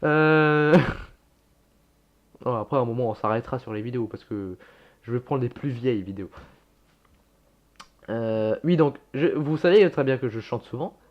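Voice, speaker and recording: A man's voice: medium pitch, lively intonation, moderate pace, pauses often, dull audio. A young man casually reacting to his own old videos, chatty and self-mocking, swearing and laughing at himself.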